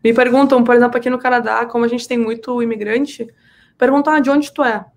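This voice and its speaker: A woman's voice: fairly high pitch, fairly even intonation, fast talker. A woman with a southern Brazilian accent, chatting casually as a podcast guest and telling personal stories in an emphatic way, repeating words for emphasis. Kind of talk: casual, animated personal storytelling in conversation.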